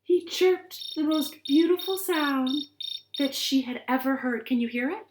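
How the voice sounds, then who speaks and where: A woman reading stories and rhymes aloud to young children in an expressive, deliberate way, recorded in a living room. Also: high-pitched, noisy background. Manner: expressive, deliberate, asks the listeners questions. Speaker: children's librarian storyteller. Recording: roomy, echoing living-room sound.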